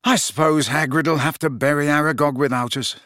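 A man's voice: a little high, steady tone, fairly quick, sharp tone, dry recording, voice pushed up. Man as an understated, wry teenage schoolboy, close-sounding. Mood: resigned.

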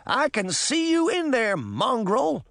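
Man voicing a high-pitched, forceful portly ex-cop. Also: huge pitch swings, takes it slowly, gravelly, dry recording, full character voice. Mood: defiant. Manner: forceful, scolding, commanding. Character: portly male ex-policeman, firm, indignant leader.

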